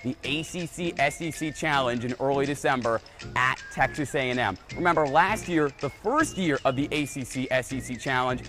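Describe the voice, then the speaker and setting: A man's voice: a little high, fairly bright tone. A man working as a sports anchor and reporter, with a playful, emphatic broadcast delivery. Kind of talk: sports broadcast narration and desk banter.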